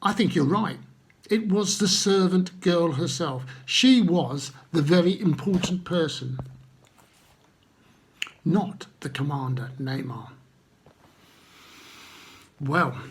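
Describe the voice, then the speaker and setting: A man telling stories to children and addressing them gently, with simple, unhurried phrasing and guiding questions. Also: on the high side, breathy edge. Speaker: children's Bible storyteller.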